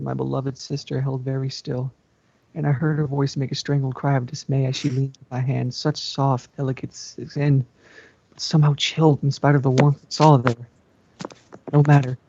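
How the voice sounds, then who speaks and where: A man's voice: mid-range voice, vocal fry, warm tone, dry recording. A man narrating fan fiction as an amateur reader, recorded on a lo-fi home microphone. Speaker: story reader.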